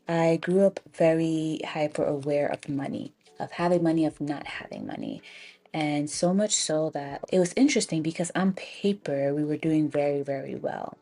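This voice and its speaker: A young American woman telling personal stories in a casual, reflective, conversational way, pausing for emphasis. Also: low voice, mellow. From Ghana and the Bronx, US. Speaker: personal finance storyteller.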